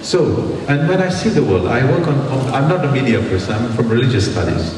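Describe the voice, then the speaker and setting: A man's voice: medium pitch, expressive, fairly quick. A middle-aged man from Tanzania of Indian origin giving a conference speech, with an emphatic manner that repeats phrases for effect. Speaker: university lecturer, conference speaker. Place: large conference hall. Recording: very echoey hall, distant mic, clear noise.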